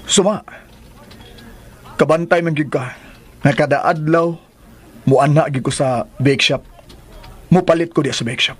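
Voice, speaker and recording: An actor playing an earnest, solemn middle-aged suitor in a Philippine radio drama, speaking with a Cebuano Filipino accent. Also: male voice, fairly high pitch, measured pace, pauses often, husky. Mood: insistent, tender. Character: earnest, strict-looking middle-aged suitor proposing marriage. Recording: music bed behind radio drama.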